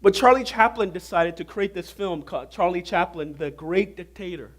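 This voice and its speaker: A pastor preaches with a hoarse, raspy voice as he gets over a flu, his pitch sitting high for a man. He talks in an animated way and keeps quizzing the congregation with questions. He is speaking in a church hall before an audience. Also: moderate pace. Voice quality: normally deep voice, now raspy. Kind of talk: sermon.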